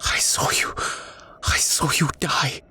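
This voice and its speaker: A man's voice: slow, sharp tone, in a whisper, faint music behind. A man voicing a frightened, disbelieving trench soldier in an audiobook, shaky and urgent. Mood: afraid, disbelieving. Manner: shaky, urgent, breathy outbursts. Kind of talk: dramatic audiobook character voice.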